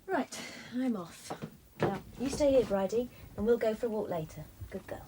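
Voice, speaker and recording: A man voicing a brisk woman heading out, fussing over her dog before she leaves. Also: sits high, lively intonation, very noisy, pitched above the performer's own voice. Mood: bustling.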